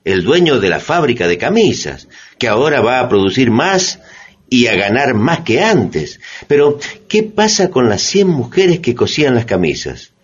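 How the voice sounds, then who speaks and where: An actor as an earnest, questioning narrator delivering scripted radio commentary. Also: male voice, medium pitch, very animated, moderate pace, breathy edge. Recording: dull lo-fi radio recording.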